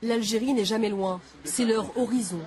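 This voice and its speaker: A woman narrating a news report in a measured, phrase-by-phrase script. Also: fairly high pitch, darkish tone.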